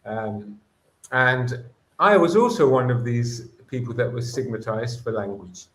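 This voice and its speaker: A man's voice: mid-range voice, measured pace, fairly bright tone, reverberant. A man whose first language is Greek, a former school principal, talking about education in an earnest, passionate way with frequent restarts. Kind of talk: conversational discussion.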